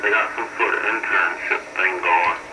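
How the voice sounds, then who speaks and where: A man's voice: deep voice, fairly even intonation, moderate pace. A middle-aged man chatting casually over two-way radio in operator lingo, with an easygoing, folksy manner. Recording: distant, very noisy two-way radio signal.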